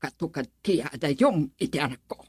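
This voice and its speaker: A woman's voice: sits low, expressive, moderate pace, husky, no echo, clean studio sound, heavily acted. A woman playing a haughty, domineering middle-aged mother of means in a radio melodrama, imperious and disdainful. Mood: cold, haughty. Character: haughty wealthy domineering mother of a grown son.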